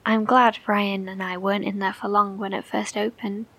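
An actress playing an anxious, caring bunker survivor in a horror audio drama, speaking softly, gently and hesitantly. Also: female voice, medium pitch, flat delivery, normal speed, breathy edge. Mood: uneasy.

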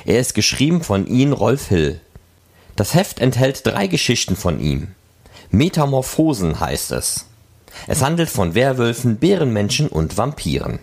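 A man's voice: mid-range voice, gravelly, a little mellow, husky. A man reading scripted news and announcements as the host of a podcast.

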